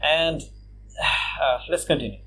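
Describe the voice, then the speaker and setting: A man from Bangladesh giving casual response commentary on a video, mocking his opponent with scoffing laughs and lots of "uh" and "you know" pauses. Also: a little high, steady tone, sharp tone, low-quality recording. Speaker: YouTube commentator.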